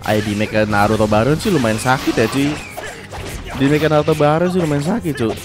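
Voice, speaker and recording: A young man with a stuffy, blocked nose giving excitable, slangy play-by-play of a fighting game and talking straight to his viewers. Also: medium pitch, brisk. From Indonesia. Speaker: gaming commentator. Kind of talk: casual gameplay commentary. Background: game music.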